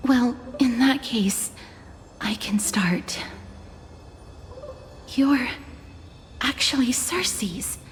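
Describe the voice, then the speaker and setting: A young female scholar in a video game. Her voice sits fairly high, polite and inquisitive, with precise, logical phrasing over faint music. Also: extremely slow, long pauses. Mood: earnest, inquiring. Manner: earnest, precise, articulate. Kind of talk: scripted video game voice acting.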